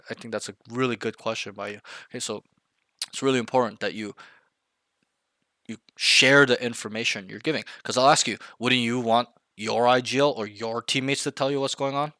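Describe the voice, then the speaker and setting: A man's voice: medium pitch, sharp tone, no echo, studio quality. A man coaching a younger player, blunt and emphatic, repeating key points to drive them home. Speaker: game coach. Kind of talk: coaching session, direct feedback.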